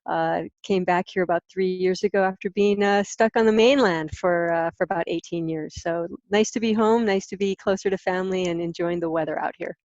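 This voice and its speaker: A woman's voice: fairly low pitch, fairly even intonation, a little fast, hardly any pauses, a little mellow, dry recording, muffled recording. A middle-aged American woman from Hawaii answering interview questions in a candid, explanatory, conversational way. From Hawaii, United States. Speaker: interview guest, content marketing business owner.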